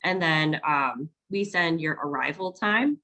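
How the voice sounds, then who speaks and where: A woman's voice: deep voice, animated, a little slow, pushed and bright. A woman hosting a virtual town hall on a video call, explaining onboarding steps in a friendly, casual way with many ums. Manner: friendly, filler-heavy explaining. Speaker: onboarding staff host.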